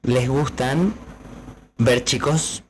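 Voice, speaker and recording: A man's voice: mid-range voice, drawn-out words, a little hiss or hum. A man co-hosting a casual podcast, explaining at length with many fillers. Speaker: podcast co-host.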